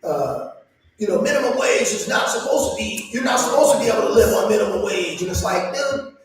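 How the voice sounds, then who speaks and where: A man's voice: sits high, lively intonation, brisk, soft background music. A man in his late forties co-hosting a casual chat, joking and conversational. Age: 40s. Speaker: co-host. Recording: echoey room, far from mic.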